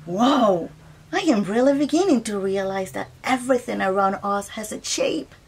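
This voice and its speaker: A woman's voice: mid-range voice, animated, normal speed, darkish tone, a little hiss or hum. A woman co-hosting a read-aloud for young children, exclaiming and explaining things simply.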